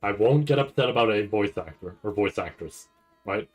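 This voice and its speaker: Nervous guy reacting live, kinda low voice, rambles and stutters over his words, super casual. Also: lively intonation, slightly rough. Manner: rambling, halting, repeats words; anxious, nervous anticipation. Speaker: anime commentator reacting to a livestream event.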